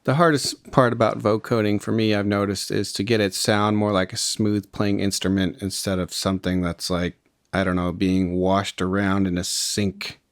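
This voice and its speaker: A man with a fairly low voice walks through a software tutorial step by step. He sounds informal and explanatory, and the recording is close and dry. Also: little pitch movement, moderate pace, no echo. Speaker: music production tutorial host.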